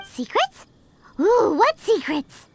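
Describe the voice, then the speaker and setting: A woman's voice: extremely high pitch, sing-song, slow, exaggerated voice. A woman voicing a tiny, childlike, chirpy and cheeky fairy companion in a video game, exclamatory and inquisitive. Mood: surprised, cheeky. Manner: exclamatory, sing-song, inquisitive. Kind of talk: video game character acting. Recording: music behind the voice.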